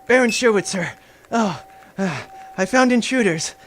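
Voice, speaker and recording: Man as a flustered young guard, high-voiced. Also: lively intonation, unhurried, fairly bright tone, a little hiss or hum. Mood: anxious. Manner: flustered, hesitant. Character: nervous young male guard reporting to his master.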